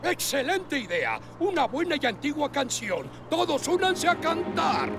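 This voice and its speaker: A man's voice: very high voice, huge pitch swings, a little slow, vocal fry, heavily acted. A man voicing a fussy, pompous older fire station officer in a Latin American Spanish cartoon dub. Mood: jolly, bossy. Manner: pompous, emphatic. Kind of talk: animated children's cartoon dub. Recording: loud music and effects behind voice.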